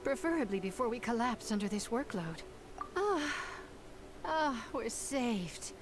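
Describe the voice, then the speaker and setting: A woman's voice: on the high side, animated, unhurried, background noise. A woman playing a proper, overworked young government secretary in a video game, speaking formally and precisely. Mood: relieved. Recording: music behind the voice.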